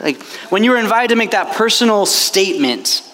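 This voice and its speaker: A man's voice: sits high, very animated, a little fast, bright, forceful tone. A man preaching as a priest to a retreat crowd, impassioned and chatty, with many fillers. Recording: long hall reverb, faint background noise.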